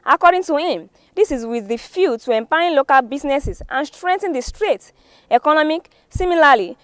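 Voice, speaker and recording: High-voiced Nigerian woman reading the news. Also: no echo. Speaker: newspaper review presenter. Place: studio.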